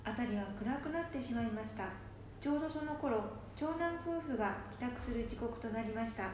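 A woman's voice: a little high, fairly quick, lightly acted. A woman narrating an amateur radio drama from a script. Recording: very echoey room, clear noise.

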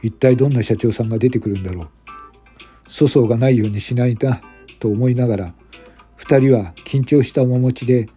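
A man narrates an amateur radio drama in a very dark, mellow voice. The sound is husky and unpolished, with music playing behind him. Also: mid-range voice. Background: background music.

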